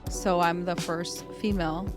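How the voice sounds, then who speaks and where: Woman from Mexico, Spanish first language, lowish voice, casual guest chat, clear music underneath. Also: level tone, measured pace. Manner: thoughtful, with fillers and restarts. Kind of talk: casual interview talk.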